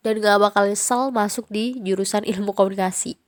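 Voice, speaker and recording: A young woman with a Jakarta slang accent speaks in a relaxed, informal podcast chat. Her voice is audibly nasal and stuffed up from a cold. She leans on casual fillers as she talks, at a middle pitch. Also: a little fast. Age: young adult. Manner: chatty, informal, frequent fillers. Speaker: podcast host.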